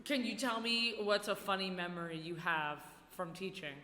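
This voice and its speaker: A woman's voice: on the low side, moderate pace, sharp tone. A woman hosting a radio show, asking questions in a casual, conversational way. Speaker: radio show host, interviewer. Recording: reverberant room.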